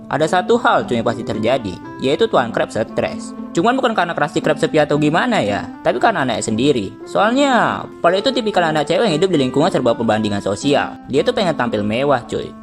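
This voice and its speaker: A young man with an Indonesian accent giving casual, slangy comedic commentary, joking and swearing freely. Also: fairly high pitch. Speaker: video commentator. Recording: music behind the voice.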